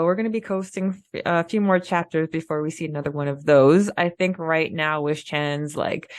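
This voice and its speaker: A woman co-hosting a podcast in casual fan discussion, halting as she thinks aloud. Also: low voice, no room sound. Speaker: podcast co-host.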